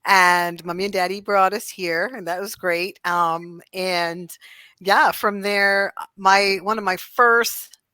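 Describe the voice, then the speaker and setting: A woman's voice: mid-range voice, fairly even intonation, takes it slowly, sharp tone, clear, resonant tone, no echo. A middle-aged woman from Barbados, raised in Toronto, talking as a guest about her trainings and singing, chatty, laughing often and restarting her sentences. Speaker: guest, cultural sensitivity trainer and singer. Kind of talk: casual interview chat.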